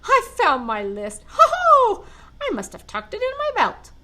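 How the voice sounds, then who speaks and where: An older woman voicing a jolly, cheerful old Santa Claus who laughs with a booming ho-ho, in a children's storybook reading. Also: huge pitch swings, measured pace, bright, forceful tone, full character voice.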